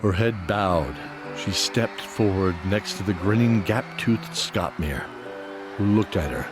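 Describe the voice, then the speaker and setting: A man's voice: low voice, slow pace, music in the background. A man giving scripted narration of a fantasy story as its narrator.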